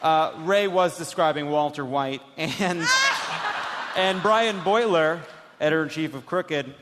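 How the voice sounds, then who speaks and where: Guy hosting a comedy quiz, highish voice, big echoey room, lots of noise. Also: slow. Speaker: comedy show host and quizmaster. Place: live stage before an audience. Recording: echoey hall, loud background noise.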